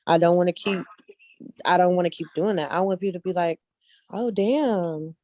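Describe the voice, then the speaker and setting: Mellow, low-pitched woman chatting. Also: normal speed, no room sound. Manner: conversational, emphatic repetition, often checks listener follows. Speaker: interview guest, casting director and actress. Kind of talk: casual interview conversation.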